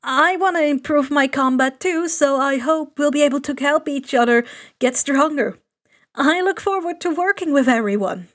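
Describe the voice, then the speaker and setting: A woman's voice: sits high, moderate pace, lightly acted. A woman voicing an eager, polite, nervous teenage boy in an audio story, earnest and a little hesitant. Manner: bright-eyed, hesitant, earnest.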